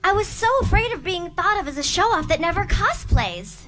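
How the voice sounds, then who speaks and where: A woman's voice: very high voice, big pitch swings, brisk, fairly bright tone, faint music behind, exaggerated voice. A woman voicing a studious, earnest young female unicorn reading out a heartfelt letter at a live script reading. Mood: sincere.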